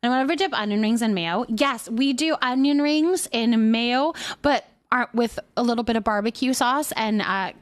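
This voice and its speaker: A woman's voice: a little high, moderate pace, hardly any pauses. A woman chatting casually and playfully as she hosts a livestream and responds to viewers' comments. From Canada. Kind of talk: casual chat, reading and answering viewer comments.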